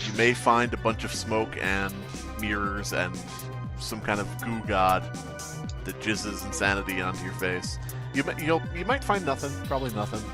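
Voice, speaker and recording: A man's voice: fairly low pitch, normal speed, music in the background. A man hosting a casual comic podcast, rambling along in a wry, joking way. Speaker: podcast host.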